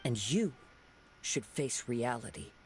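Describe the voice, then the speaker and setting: A man playing a calm, perceptive young swordsman in a video game, articulate, earnest and measured. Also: medium pitch, a little hiss or hum, rough recording. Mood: reproachful. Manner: composed, articulate, philosophical. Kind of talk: scripted video game dialogue.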